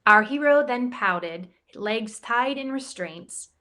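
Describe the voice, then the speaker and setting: A woman reads a rhyming children's book aloud in a slightly high voice. She takes her time over each line, with a playful, expressive lilt that lets the rhymes land. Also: slow. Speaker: campus ministry director reading a picture book. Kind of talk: storybook read-aloud in rhyme.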